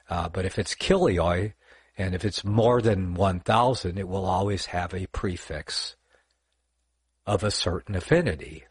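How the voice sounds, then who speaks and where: An older man talks through scripture on a casual livestream, wandering off and correcting himself as he goes. His voice sits very low with a husky edge. The sound is dry and close, with no room echo. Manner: rambling, self-correcting, lots of fillers. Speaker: online Bible teacher.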